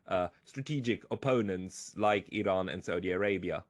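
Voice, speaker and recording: A man hosting a conversational political interview, with hesitant, halting phrasing. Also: deep voice, no room sound, clean studio sound. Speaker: interviewer, host.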